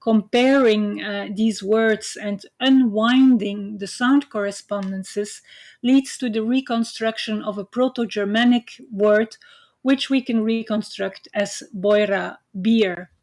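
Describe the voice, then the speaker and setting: A woman's voice: mid-range voice, steady tone, slow, darkish tone. A woman whose first language is Dutch, giving an online university lecture with frequent "uh" fillers and a hesitant, explanatory manner. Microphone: computer mic on video call.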